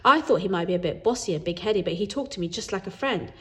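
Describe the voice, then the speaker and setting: Expressive woman reading a children's story. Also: mid-range voice, quick pace. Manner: animated, acts out dialogue. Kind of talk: expressive read-aloud of a children's book.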